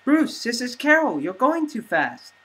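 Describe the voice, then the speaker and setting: A man voicing a panicked woman calling over a race radio, high and strained. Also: sing-song, unhurried, bright, forceful tone, heavily acted, poor audio. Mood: alarmed.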